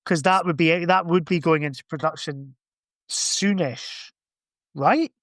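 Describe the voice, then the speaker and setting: A man's voice: fairly high pitch, a little slow, a bit bright, no room sound, clean studio sound. A man in casual podcast conversation, thinking aloud in a rambling way. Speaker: podcast guest or co-host. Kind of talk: casual chat.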